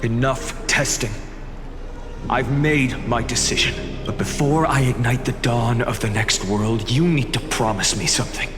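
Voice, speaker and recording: Guy doing a solemn young fantasy game hero, determined, slowish talk, long hall reverb. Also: medium pitch, lightly acted. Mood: resolute. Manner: earnest, solemn, deliberate. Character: resolute young male hero shouldering a sacred burden. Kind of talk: scripted fantasy video game acting. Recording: long hall reverb over background music.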